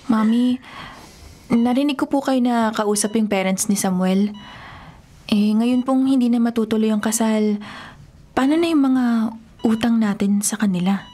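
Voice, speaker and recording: High-voiced, earnest young Filipino daughter. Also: female voice, a little slow, long pauses, mellow. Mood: anxious. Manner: emotional, pleading and tender. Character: young pregnant rich man's daughter, earnest and devoted. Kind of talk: Tagalog radio drama acting. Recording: radio drama with music underneath.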